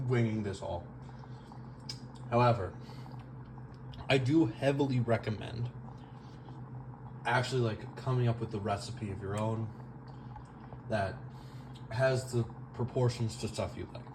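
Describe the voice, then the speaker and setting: A young man rambles through a home cooking vlog, full of fillers and poking fun at himself. His voice sits at an ordinary pitch and is picked up on a camera mic in his kitchen, and he sometimes drifts away from it. A steady hiss of noise runs under the whole recording. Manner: self-deprecating, rambling, filler-heavy. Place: home kitchen, moving around the stove.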